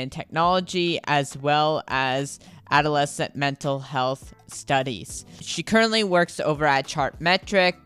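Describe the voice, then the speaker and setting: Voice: mid-range voice, a bit bright, smooth. A podcast host presenting a guest and asking interview questions. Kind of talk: scripted introduction, then interview questions.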